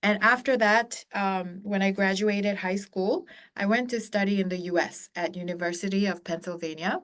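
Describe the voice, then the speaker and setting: A woman in her forties, born in Korea and raised across Asia, founder of an education company, presenting a webinar to students in an earnest, encouraging way, pausing often with um and uh. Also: mid-range voice, fairly bright tone. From born in Korea, raised across East and Southeast Asia.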